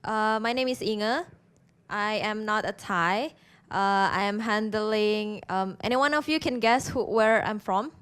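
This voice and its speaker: A woman's voice: mid-range voice, unhurried, fairly bright tone, clear, resonant tone. A woman from Indonesia with an Indonesian accent, speaking as a presenter to a conference audience in an interactive way.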